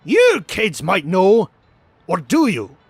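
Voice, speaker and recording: A man voicing a grumpy, flustered old rich uncle duck in a cartoon comic dub, scolding and exasperated with drawn-out emphasis. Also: sits high, wildly swooping pitch, husky, no echo, exaggerated voice.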